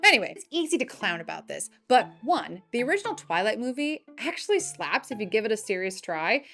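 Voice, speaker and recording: A woman's voice: medium pitch, sing-song, fairly quick, creaky, a bit bright. A woman hosting a fantasy movie news show, reading scripted items in a chatty, playful fan style with casual opinions. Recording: music bed behind the voice. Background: background music.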